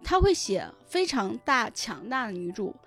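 Female podcast guest, higher-pitched voice, chatty and explanatory, casual conversation over background music. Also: big pitch swings, normal speed. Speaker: guest, web-fiction editor. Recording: music behind the voice.

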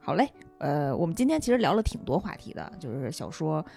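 A woman's voice: sits low, big pitch swings, brisk, gravelly, mellow. A woman hosting a podcast in a casual, conversational style. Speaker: podcast host. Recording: music behind the voice. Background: music.

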